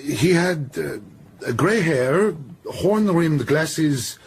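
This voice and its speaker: A man's voice: on the high side, animated, drawn-out words, exaggerated voice. A man playing a nervous, evasive airline booking clerk, hesitant and polite, in a radio drama. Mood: nervous, apologetic.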